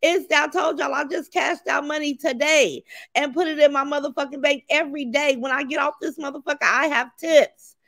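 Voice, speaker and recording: A raspy-voiced woman hosts a livestream, reading chat names aloud and cursing freely with blunt, brash energy. Her voice sits a touch high. The sound is close and dry, with no room around it. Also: steady tone, brisk, fairly bright tone, dry recording. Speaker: livestream host.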